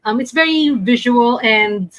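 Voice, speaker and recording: A woman's voice: a little high, unhurried, fairly bright tone, no room sound. A woman casually presenting as a podcast panelist, a little hesitant as she searches for her words. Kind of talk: casual presentation in a group chat.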